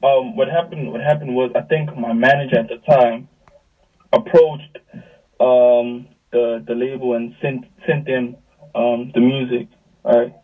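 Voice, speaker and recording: Young man, South African accent, husky voice, casual slangy storytelling, narrow phone-like video call sound. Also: mid-range voice, far from the mic. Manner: slangy, animated retelling with quoted dialogue. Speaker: music artist, interview guest.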